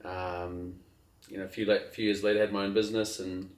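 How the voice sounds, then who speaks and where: A middle-aged man speaks as an interview guest, low in pitch and unhurried, stopping and restarting his thoughts with plenty of fillers. The sound is roomy and echoey. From New Zealand. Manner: relaxed, hesitant, many fillers and restarts. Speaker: guest, sailing captain. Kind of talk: casual interview chat. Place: outdoors on a trip.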